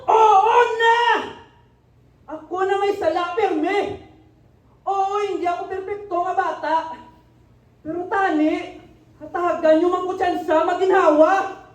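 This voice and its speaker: Resentful student son, Filipino, radio drama, acted out; unhurried delivery, far from the mic. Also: very high-pitched, steady tone. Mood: resentful, angry. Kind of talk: amateur radio drama acting. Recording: echoey room, distant microphone.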